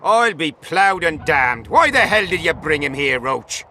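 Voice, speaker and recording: An actor playing a gruff, foul-mouthed soldier guarding a camp in a video game, coarse and sneering. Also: male voice, fairly high pitch, very animated, a little slow, pushed and bright, slight background noise, full character voice. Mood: scornful. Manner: coarse, sneering, barking orders.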